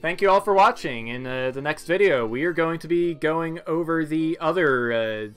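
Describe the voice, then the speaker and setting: A man's voice: a little high, animated, normal speed, fairly bright tone, smooth. Casual man commenting over game music. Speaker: gameplay video host.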